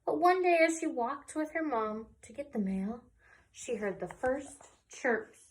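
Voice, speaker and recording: A woman reading a picture book aloud to young children in an expressive storytelling way, pausing for little asides. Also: high voice, a little hiss or hum, low-quality recording. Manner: slow, animated, pausing for asides. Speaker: children's read-aloud presenter.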